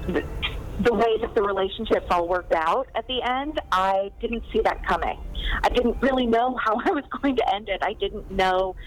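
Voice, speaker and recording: A woman author talking casually in an interview, chatty and animated, with plenty of fillers and laughs. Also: mid-range voice, normal speed, low-quality recording. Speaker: guest author. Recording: faint background noise.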